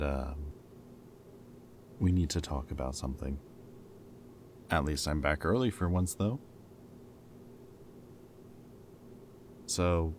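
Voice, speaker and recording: A man playing a protective, teasing former villain in an audio roleplay, with a wry, casual, halting delivery. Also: very deep voice, a little mellow, a little hiss or hum. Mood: serious, subdued.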